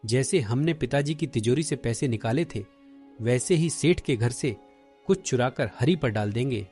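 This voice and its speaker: A man's voice: medium pitch, animated, normal speed, darkish tone, soft background music, natural voice. A man playing a jealous, greedy young schemer, calculating and gloating, in a cartoon moral story. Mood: scheming.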